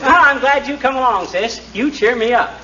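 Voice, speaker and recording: A folksy, hot-tempered grumbler of a man, high and animated, full of blustery slang. It comes from a muffled, noisy 1940s comedy broadcast. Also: fairly quick, a loud crowd. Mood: amused, bantering. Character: grumbling, wisecracking, hot-tempered small-time husband. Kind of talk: 1940s radio comedy acting. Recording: muffled, noisy 1940s radio, distant, studio audience.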